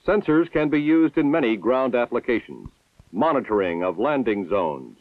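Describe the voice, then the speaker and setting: A man giving formal, measured scripted narration for an old military training film. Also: mid-range voice, animated, dry recording. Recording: tinny lo-fi 1960s film soundtrack.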